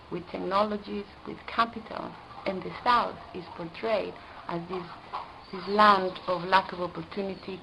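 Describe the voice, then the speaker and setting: A woman from Costa Rica, an environmental specialist, speaking in an earnest, deliberate way and stressing key words. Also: medium pitch, creaky, background noise. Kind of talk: prepared video statement.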